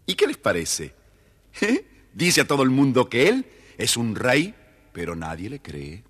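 A man with a husky voice, narrating a scripted children's story. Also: medium pitch, wildly swooping pitch, a little slow, fairly bright tone, faint music behind.

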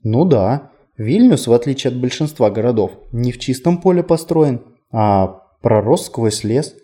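A whimsical male city guide as a man reads him in an audiobook, chatting playfully and poking fun at himself. The voice is mellow and mid-pitched, with lively swings of intonation. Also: measured pace, lightly acted. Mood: brisk, inviting. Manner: conversational, playful, self-deprecating. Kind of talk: audiobook character dialogue.

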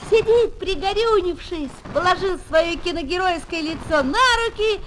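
A piping, very high schoolboy speaks with spirited, childlike expression, as in a 1970s Soviet children's radio play. The old disc adds faint noise. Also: female voice, lively intonation, moderate pace, fairly bright tone. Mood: storytelling. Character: spirited young schoolboy, narrating friend of Tolka. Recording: noisy old 1970s flexi-disc record.

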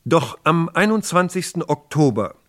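An actor playing a sober narrator of a police case, measured and matter-of-fact, in a 1960s documentary radio drama. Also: male voice, fairly high pitch, sing-song, a bit bright. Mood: sober, factual.

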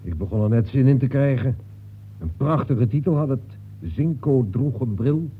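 Male, actor playing wry novel detective, mid-pitched and measured, vintage radio drama with narrow, noisy sound. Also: expressive, lightly acted. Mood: matter-of-fact. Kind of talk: old radio play acting. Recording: thin, noisy old radio recording.

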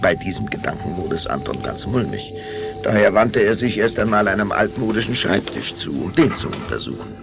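A man's voice: on the low side, sing-song, fairly quick, gravelly, over music. A man narrating a children's radio play as a theatrical, suspenseful storyteller. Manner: suspenseful, theatrical, shifting to whispers.

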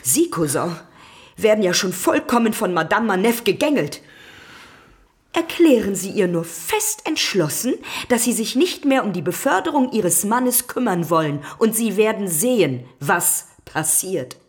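An actress playing a scheming, venomous spinster cousin in a radio drama, dramatic and falsely virtuous, sometimes dropping into a hiss. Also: female voice, medium pitch, big pitch swings, measured pace. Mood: indignant, scheming. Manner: dramatic, dropping into hissed whispers.

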